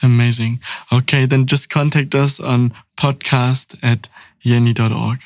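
A man's voice: medium pitch, flat delivery, unhurried, dry recording, studio quality. A young man from northern Germany, hosting a podcast in a chatty way full of "um" and "like". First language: Danish. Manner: chatty, with many fillers like "um" and "like". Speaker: podcast host. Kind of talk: casual podcast conversation.

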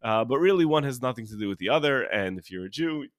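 An American man who is sniffly with a cold, hosting a solo podcast and teaching in an informal, halting way, rephrasing as he goes. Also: mid-range voice, animated, no room sound, clean studio sound. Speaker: podcast host and religious teacher.

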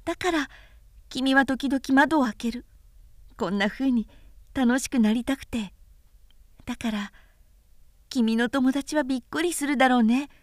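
Storybook boy prince, played by a woman: small, gentle, wistful; high, soft childlike delivery, very dry sound. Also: unhurried, pauses often, no echo. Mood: playful, tender. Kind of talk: storybook character voice in a literary recitation.

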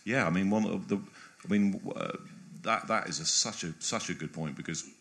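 Man, very low voice, interview guest, biographer, discursive, halting, restarts phrases. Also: creaky, a bit bright.

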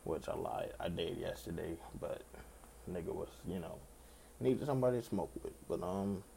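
A young man with a raspy voice hosting his own podcast in loose, rambling casual chat, swearing freely. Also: deep voice, moderate pace, warm tone, slight background noise. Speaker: podcast host.

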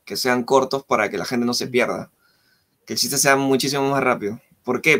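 A man in casual chat about stand-up comedy, relaxed and slangy, laughing easily. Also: mid-range voice. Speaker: comedian co-host.

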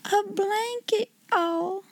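A woman's voice: very high voice, drawn-out words, no room sound, voice pushed up, heavily acted. A woman voicing an awestruck, eager young servant girl in a storybook, with breathless, unschooled speech. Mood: awed amazement. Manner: breathless, gasping, uneducated speech with dropped grammar.

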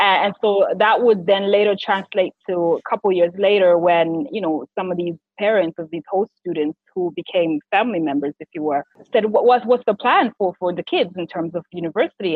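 A woman's voice: on the low side, a little fast, hardly any pauses, breathy edge, no room sound. Zimbabwean woman, 30s, chatty and rambly in an interview, tinny phone-line sound. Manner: animated, rambling, many restarts and fillers. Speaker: PR and communications strategist, guest. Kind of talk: conversational interview.

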